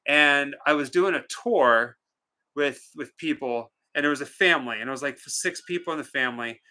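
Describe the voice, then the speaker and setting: A man's voice: medium pitch, moderate pace, pushed and bright. A man co-hosting a live stream, chatting casually and reading out viewers' comments with a joking, teasing manner.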